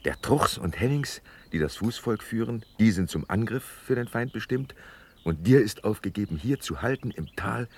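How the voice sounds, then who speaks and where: An actor playing a sober, loyal Prussian officer with an earnest, precise manner in a radio drama. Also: male voice, fairly low pitch, expressive, normal speed, slight background noise. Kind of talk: German classical radio drama acting.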